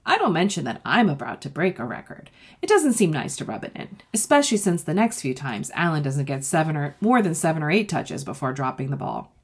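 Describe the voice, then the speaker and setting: Female children's author for read-aloud: low, lightly husky voice, expressive, talks directly to kids. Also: fairly quick. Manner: expressive, addressing young listeners. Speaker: author reading their own book.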